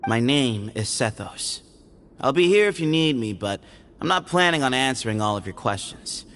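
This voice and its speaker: A man voicing a sly, playful young man in a video game, teasing and evasive, with a relaxed manner. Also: medium pitch, moderate pace, slight background noise, natural voice. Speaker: game character.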